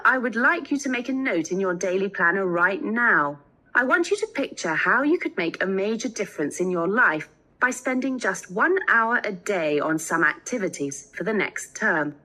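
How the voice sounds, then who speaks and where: A woman delivering a scripted student lecture in an instructional manner, with measured phrasing and clear reading. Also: mid-range voice, bright, forceful tone. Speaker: lecturer in a listening test recording.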